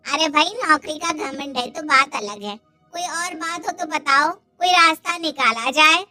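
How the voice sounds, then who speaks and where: A woman's voice: high voice, a little slow, bright, forceful tone, soft background music, heavily acted. A woman playing a meddling matchmaking auntie in a cartoon family drama. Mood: prying. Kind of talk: Hindi cartoon dialogue.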